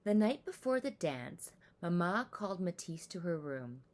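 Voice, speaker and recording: A woman reading a children's story aloud with animated, deliberate, expressive narration. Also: fairly low pitch.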